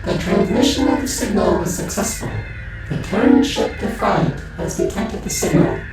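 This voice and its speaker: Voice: medium pitch, moderate pace. An actor playing a sinister alien commander in a sci-fi audio drama, with an otherworldly echoing effect. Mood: cold, scheming. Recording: heavily echoed, distant, music underneath.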